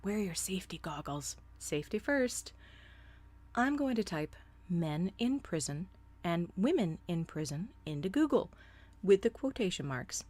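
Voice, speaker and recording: A woman's voice: fairly low pitch, very animated, unhurried, darkish tone. A woman delivering scripted opinion commentary in a blunt, sardonic manner, casually profane. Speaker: host of a solo commentary show.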